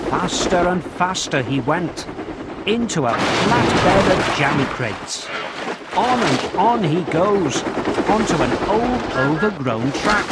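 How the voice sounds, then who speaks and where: A man narrating a children's storybook game in a deliberate, expressive storytelling style, as scripted narration. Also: on the high side, very noisy. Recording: music playing behind the voice. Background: background music.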